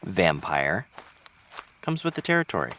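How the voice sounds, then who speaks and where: Wry, flirtatious male vampire for audio drama, very low and lively in pitch. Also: measured pace, slight background noise, full character voice. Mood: wry teasing. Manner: wry, bantering, theatrical. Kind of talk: scripted drama acting.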